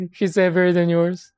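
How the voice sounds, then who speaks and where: A woman with a Filipino accent talks in a relaxed, chatty way, trading opinions with loose, rambling asides. Her voice sits a little lower than most women's. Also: smooth. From Philippines. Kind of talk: casual chat.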